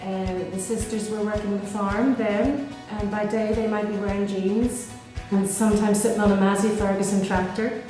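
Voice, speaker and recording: A woman's voice: mid-range voice, little pitch movement, moderate pace, hardly any pauses. Woman, Belfast accent, middle-aged, reflective, hesitant ums, very echoey room, music bed. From Northern Ireland. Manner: gentle, thoughtful, with frequent ums and restarts. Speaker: Dominican sister. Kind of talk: reflective personal interview.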